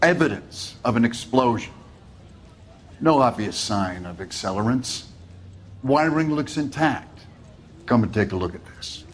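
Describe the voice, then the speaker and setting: A man plays a methodical fire investigator in a detective game. His medium-pitched voice gives facts in clipped, no-nonsense phrases, with faint noise around it. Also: lively intonation, a little slow, pauses often, natural voice. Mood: matter-of-fact. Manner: factual, clipped reporting. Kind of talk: video game cutscene acting.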